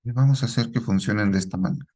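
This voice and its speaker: A man's voice: fairly low pitch, racing, darkish tone. Man teaching a programming class. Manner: asks the class questions and repeats their answers, ends lines with a checking "¿sí?". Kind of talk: live classroom lesson.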